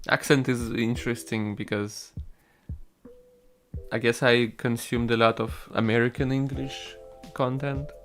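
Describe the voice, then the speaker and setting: Slow, laid-back Russian man chatting. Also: mid-range voice, flat delivery, fairly bright tone, faint music behind. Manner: laid-back, rambling, swears casually. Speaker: solo game developer streaming. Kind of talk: casual chat with stream viewers while coding.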